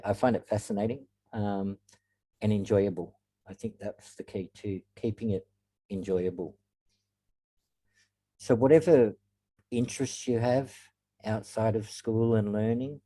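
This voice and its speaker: A man giving a reflective webinar talk as guest speaker, pausing often with ums. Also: lowish voice, dark tone, rough recording. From Australia. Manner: reflective, frequent ums and pauses. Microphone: video call.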